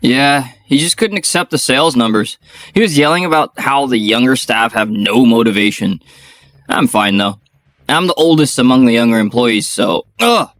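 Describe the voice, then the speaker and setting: A man's voice: mid-range voice, normal speed, a bit bright, no room sound. A man narrating and speaking as a weary, apologetic office worker in a manga dub. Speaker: narrator and lead character.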